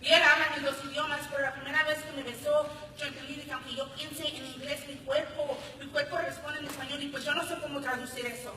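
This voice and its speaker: High, piercingly bright American woman poet, echoing hall. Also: steady tone, racing, hardly any pauses. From Los Angeles, United States. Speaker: spoken word poet. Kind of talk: live spoken word poetry performance. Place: large hall at a conference ceremony. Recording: echoey hall, distant, faint noise.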